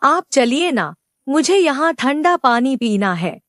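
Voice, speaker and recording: A woman voicing a gentle, cautious, wise female goat in a cartoon moral story, earnest and polite. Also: high voice, big pitch swings, slow pace, no echo, studio quality, heavily acted. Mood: polite, wary.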